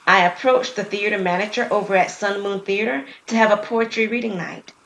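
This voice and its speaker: A woman's voice: mid-range voice, fairly even intonation, a little fast, a bit bright, echoey room, lightly acted, poor audio. Woman doing a young poet girl in an audio drama, earnest n eager, bit of noise.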